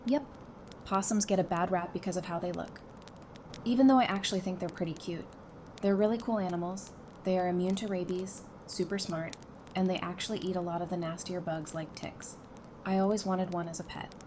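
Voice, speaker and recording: A woman playing a sassy, sarcastic, quick-witted wife with dry, teasing delivery in an audio drama. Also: lowish voice, steady tone, close to an everyday voice. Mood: playful. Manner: dry, teasing, matter-of-fact. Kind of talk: fan audio drama acting. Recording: clearly noisy background.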